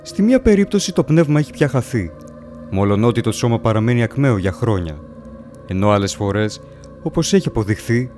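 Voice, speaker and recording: A man playing a haunted, bewildered wanderer who narrates a gothic horror tale in a solemn, measured, dramatic way. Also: mid-range voice, darkish tone, close to an everyday voice. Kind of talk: dramatic first-person audiobook narration.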